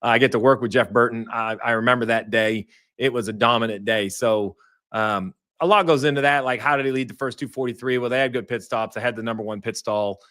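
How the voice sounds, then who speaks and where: A man with a hoarse voice hosting a live post-race show, upbeat and conversational. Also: mid-range voice, a little fast, fairly bright tone. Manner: upbeat, conversational, reads fan chat aloud. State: hoarse, says he lost his voice. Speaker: show host.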